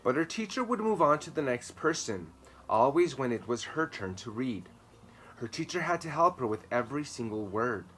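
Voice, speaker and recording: A man's voice: mid-range voice, very animated, moderate pace, a bit bright, rough recording. A man in his thirties reading a children's story aloud with emphatic, dramatic phrasing in a garden. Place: outdoor garden patio, seated. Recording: clear ambient noise behind voice.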